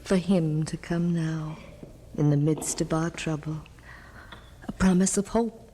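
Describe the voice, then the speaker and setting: A woman acting a tender, reverent innkeeper's wife and worried aunt in an old-time radio drama, hushed and full of wonder. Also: low-pitched, fairly even intonation, a little slow, slight background noise. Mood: awed. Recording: vintage radio broadcast, music behind.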